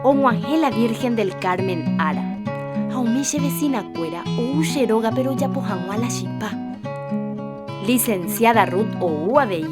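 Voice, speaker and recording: A woman's voice: a little high, lively intonation, unhurried, loud music behind the voice. An actress playing a sociable village woman who organises her neighbours in a radio soap opera. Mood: welcoming, busy.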